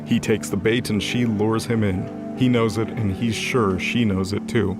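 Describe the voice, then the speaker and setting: A man's voice: fairly low pitch, fairly even intonation, unhurried. A man reading scripted, dramatic story narration as the narrator of an audio drama. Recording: music under the voice. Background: music.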